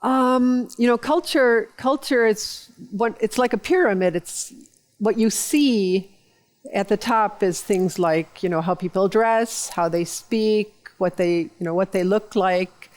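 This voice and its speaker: A middle-aged woman gives interview answers at an even, middling pitch. She speaks in a thoughtful, measured and friendly way. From United States. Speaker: guest, diplomat.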